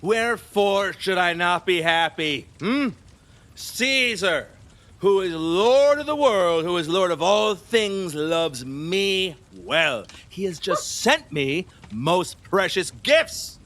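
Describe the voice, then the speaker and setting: A man's voice: high-pitched, expressive, slow, fairly bright tone, heavily acted. A man acting a pompous, lecherous, superstitious king in an audio play, grandiose and rambling. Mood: boastful, elated.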